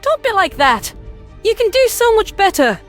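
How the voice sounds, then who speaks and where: A man voicing a small, earnest female alien creature with a squeaky voice in a sci-fi audio story. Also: very animated, normal speed, fairly bright tone, full character voice. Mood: chiding, pleading. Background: background music.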